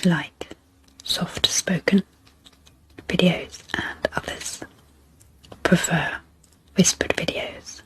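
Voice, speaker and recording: Slow, half-whispering woman, soft ASMR delivery. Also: on the low side, poor audio. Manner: gentle, unhurried, pausing between short phrases. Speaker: host, ASMR psychologist. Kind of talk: soft-spoken ASMR guided sleep meditation introduction.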